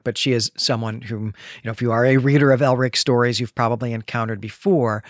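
A man with a medium-pitched voice talks through a story as a podcast co-host. His delivery is conversational, with wry asides, and the recording is close and dry, free of room sound. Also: fairly bright tone, no echo. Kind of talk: conversational book discussion and story recap.

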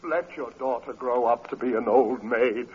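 An actor playing a pompous, grandiloquent, sponging uncle in a vintage radio comedy, formal and theatrical. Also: male voice, medium pitch, normal speed, breathy edge. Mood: lofty, scolding. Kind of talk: 1940s radio comedy acting. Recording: muffled old radio recording.

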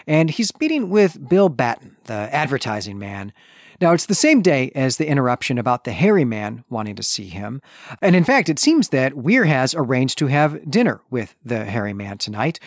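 A man's voice: mid-range voice, no echo, clean studio sound. A man co-hosting a podcast, recapping and discussing a book in an explanatory, conversational way with rhetorical check-ins. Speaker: podcast co-host.

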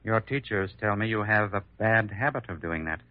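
An actor with an American accent playing a brisk, skeptical insurance investigator in an old-time radio drama, clipped and businesslike. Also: male voice, fairly low pitch, animated, natural voice. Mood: probing, doubtful. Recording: muffled vintage radio recording.

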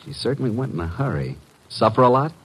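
An actor playing a breezy, wisecracking, flirtatious detective's assistant in a classic radio drama. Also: male voice, mid-range voice, sing-song, quick pace, fairly bright tone, husky, poor audio. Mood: coaxing. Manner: breezy, casual, glib.

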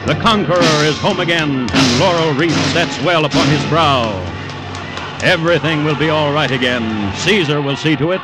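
A man narrates history like a classic radio showman, in short, theatrical phrases with a wry edge. Music plays clearly behind his voice on an old radio recording. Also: on the high side, normal speed, poor audio. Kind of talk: dramatized radio history narration in mid-century newsreel manner.

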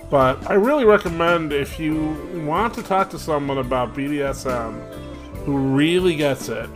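A man hosting a podcast, talking in an earnest, halting way with many fillers and restarts. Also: medium pitch. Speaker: podcast host. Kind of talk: casual spoken podcast commentary. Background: guitar music.

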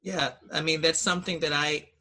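Bright-voiced man, thoughtful and hesitant. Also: fairly high pitch, steady tone, fairly quick. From United States. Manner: thoughtful, hesitant, many fillers and restarts. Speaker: student in a linguistics discussion. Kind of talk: casual video-call discussion. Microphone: video call.

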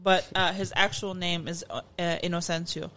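A woman's voice: lowish voice, steady tone, normal speed, fairly bright tone. A woman co-hosting a casual food-review chat, explaining background details with many "like" and "um" fillers. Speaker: podcast co-host.

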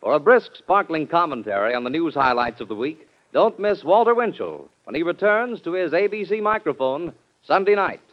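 A man's voice: on the high side, sing-song, normal speed. An American man reading scripted credits as a formal 1940s network radio announcer. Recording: muffled old radio broadcast, music behind.

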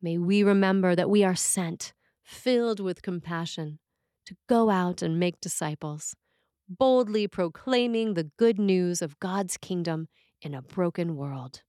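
A woman reading a scripted sermon as a preacher, with measured, deliberate phrasing. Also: on the low side, dark tone, dry recording, studio quality.

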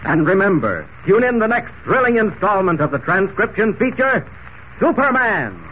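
A man's voice: high-pitched, big pitch swings, normal speed. A man playing a dramatic, urgent announcer, narrating a 1940s radio serial. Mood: suspenseful. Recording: tinny, hissy old radio recording.

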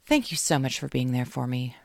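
Subdued, searching woman novelist, voiced by the narrator, gravelly, close and dry. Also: medium pitch, lively intonation, a little fast, no echo. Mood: weary. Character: lost, unsure woman writer.

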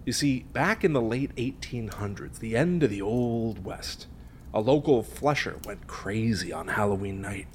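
A man's voice: lowish voice, animated, measured pace, a little mellow. Man playing a smug, teasing storyteller, faint noise. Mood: smug, spooky. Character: smug, teasing male friend telling a gory local legend. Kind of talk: naturalistic audio drama acting.

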